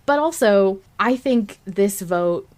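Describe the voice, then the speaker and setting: A woman's voice: mid-range voice, expressive, slow pace. Opinionated woman podcast host chatting. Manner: opinionated, emphatic, swears casually. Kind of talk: casual discussion chat.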